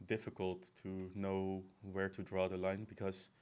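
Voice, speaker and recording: A man's voice: deep voice, monotone, a little slow, darkish tone, clean tone. A man hosting a podcast, interviewing casually and feeling his way through loosely phrased questions. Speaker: podcast host.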